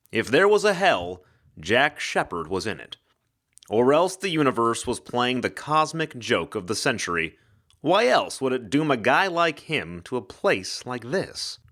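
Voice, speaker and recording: A man's voice: medium pitch, a bit bright. A man giving a dramatic scripted narration of a hard-boiled mystery story. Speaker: actor reading an audiobook-style story.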